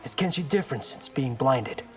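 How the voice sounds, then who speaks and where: A man's voice: medium pitch, lively intonation, moderate pace, faint music behind, natural voice. Man as a defiant young warrior, reverberant. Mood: defiant, wry. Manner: dry, defiant, self-assured. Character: defiant, wry young male crime-fighting warrior. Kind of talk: fighting game dialogue acting.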